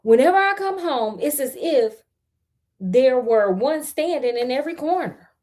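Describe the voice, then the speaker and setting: An American woman plays a foolish, stubborn storybook peasant man. The voice is high and swoops about, full of blustering indignation. His complaints are drawn out. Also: lively intonation, a little slow, a little mellow. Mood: grumbling.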